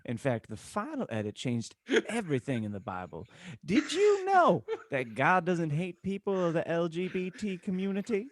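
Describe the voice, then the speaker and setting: Preachy, explanatory male mentor figure, put on by a man for comic fan-fiction reading; animated intonation, dry sound. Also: high-pitched, normal speed, no echo, voice pushed up. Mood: earnest, explanatory. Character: patient, preachy adult man explaining a prophecy to teens.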